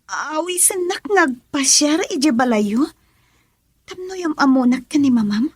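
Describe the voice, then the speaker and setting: A woman's voice: sits high, animated, a little slow, dry recording, studio quality. An actress playing a shy young woman being courted, bashful and hesitant, with an Ilocano Filipino accent in a radio drama. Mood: bashful, doubtful.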